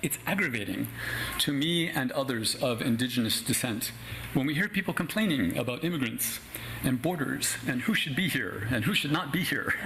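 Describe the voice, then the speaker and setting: A middle-aged American man from Los Angeles, a native Spanish speaker, giving a prepared personal talk as a guest speaker in an articulate, measured way with wry asides. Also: medium pitch, animated, creaky, background noise. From Los Angeles County, United States.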